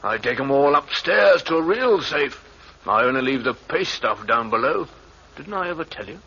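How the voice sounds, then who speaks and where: Husky old shopkeeper, gentle but sly, bright-ish tone, lo-fi old radio. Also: male voice, medium pitch, expressive, normal speed, heavily acted. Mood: knowing. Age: older. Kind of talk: 1950s radio drama acting. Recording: dull lo-fi old radio recording.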